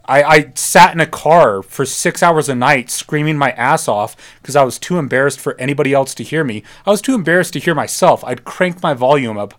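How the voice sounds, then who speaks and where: A man's voice: a little high, expressive, brisk, few pauses. A man, a musician guest, chatting casually in a joking, self-deprecating way. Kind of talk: casual conversation.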